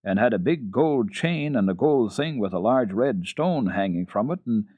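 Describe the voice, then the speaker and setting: A man's voice: medium pitch, lively intonation, moderate pace, slightly rough, no echo, narrow, tinny audio. A man voicing a cheerful, eager young girl who chatters earnestly in a dramatic children's storybook reading.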